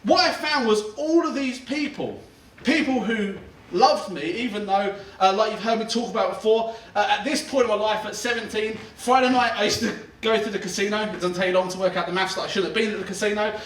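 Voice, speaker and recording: A man's voice: high-pitched, brisk, fairly bright tone, roomy sound. Man, 30s, pastor, casual sermon, laughs between lines, sits far from the mic. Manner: chatty, self-deprecating, laughs while talking. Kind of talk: conversational sermon. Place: church auditorium.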